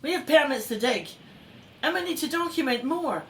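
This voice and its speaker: Echoey, animated woman archaeologist, played by an actress. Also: high voice, moderate pace. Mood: defiant. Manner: assertive, indignant. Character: feisty, determined woman archaeologist leading her team. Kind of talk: audio drama acting. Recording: echoey, roomy sound.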